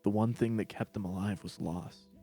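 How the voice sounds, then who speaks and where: Low, mellow male story narrator. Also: steady tone, moderate pace, faint music behind.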